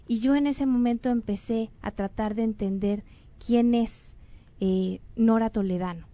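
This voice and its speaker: Earnest, mellow-voiced Mexican woman host. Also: a little high, steady tone, a little slow, no room sound. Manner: earnest, rambling with frequent fillers and self-corrections. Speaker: radio or online show host. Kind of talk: casual spoken show announcements and guest introduction.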